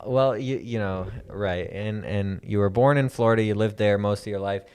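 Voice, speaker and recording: Low-voiced man hosting a comedy podcast. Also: a little mellow, a little hiss or hum. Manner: halting, full of fillers, dry straight-man delivery. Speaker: podcast host. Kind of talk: improvised comedy chat.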